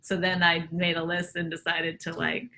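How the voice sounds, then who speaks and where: Bright-voiced woman telling rambling stories. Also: on the low side, completely flat delivery, normal speed, low-quality recording. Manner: rambling, loose storytelling with many fillers. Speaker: comedian guest. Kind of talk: casual interview chat.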